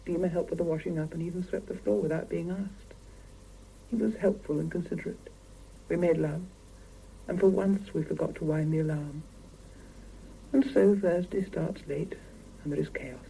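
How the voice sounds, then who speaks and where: An actress playing a wistful, guarded young Soviet wife in a 1970s radio drama, speaking. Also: female voice, lowish voice, fairly even intonation, moderate pace, gravelly, long pauses, dark tone, poor audio. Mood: wistful, reflective. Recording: hissy 1970s mono radio cassette.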